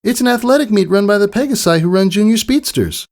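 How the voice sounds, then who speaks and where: A man voicing a plucky young filly pegasus in a storybook reading, excitable and earnest. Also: sits high, fast, pitched above the performer's own voice. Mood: excited, eager.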